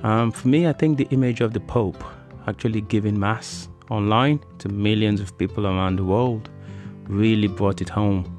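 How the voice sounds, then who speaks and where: Guy hosting a podcast, fairly deep voice, casual chatty talk, bit of music under it. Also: fairly even intonation, normal speed, a little mellow. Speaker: podcast host.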